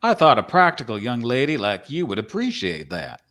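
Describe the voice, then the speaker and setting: Folksy old-time cowboy, acted by a man, courtly and wistful, mid-range pitch, for a staged play reading. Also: huge pitch swings, moderate pace, fairly bright tone. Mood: playful, reminiscing. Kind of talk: dramatic script reading.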